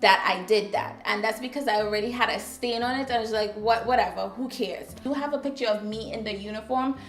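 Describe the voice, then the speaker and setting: A young woman vlogger telling a personal story, animated, acting out her younger self. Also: fairly high pitch, fairly quick, roomy sound. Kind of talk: storytime vlog.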